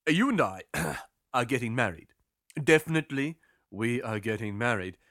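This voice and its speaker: A storyteller voicing an earnest-sounding but evasive male sailor in an emphatic, deliberate way. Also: mid-range voice, lively intonation, fairly bright tone, no echo, studio quality, natural voice. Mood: confessing.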